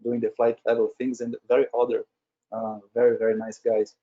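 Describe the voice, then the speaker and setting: A man's voice: medium pitch, monotone, brisk. A middle-aged man from Brazil with a Brazilian accent, a native Portuguese speaker, presenting informally at a webinar and often checking in with "right?". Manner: conversational, frequently tags statements with "right?". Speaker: webinar speaker, agile leader at a retail company. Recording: dull lo-fi webinar audio.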